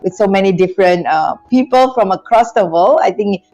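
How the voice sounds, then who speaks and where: Middle-aged lady on a video call, lively and chatty, lapel mic in a small room. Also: mid-range voice, a little fast, highs cut off, soft background music. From Singapore. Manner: animated storytelling with hand gestures. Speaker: guest, business school founder. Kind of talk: casual video-call interview.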